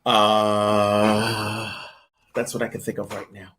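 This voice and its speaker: Low-voiced man, emphatic interview guest. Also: monotone, slow pace, a bit bright. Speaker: interview guest, voice-over audition coach. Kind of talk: conversational interview answers.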